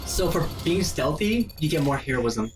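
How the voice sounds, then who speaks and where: A man's voice: on the high side, sing-song, normal speed, background noise. A man giving casual, explanatory commentary while a video game is played. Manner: explanatory, conversational. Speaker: gaming commentator. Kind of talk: casual let's play chat. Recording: distant mic, game music behind.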